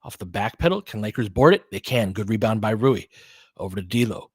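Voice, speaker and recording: A man's voice: on the low side, expressive, fairly quick, slightly rough, dry recording. A man giving conversational, partisan basketball play-by-play commentary, reacting openly to the action as it unfolds.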